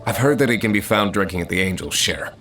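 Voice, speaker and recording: An actor playing a gruff, plain-spoken blacksmith in a video game. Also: male voice, on the low side, fast talker, close to an everyday voice. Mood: gruff, matter-of-fact. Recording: slightly distant, music behind.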